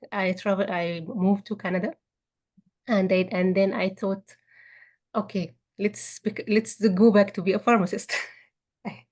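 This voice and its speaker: A woman, speaking at a meetup about her career, often restarting and repeating words. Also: medium pitch. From Egypt. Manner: frequent restarts and repeated words, warm laughing asides. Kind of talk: personal career story talk.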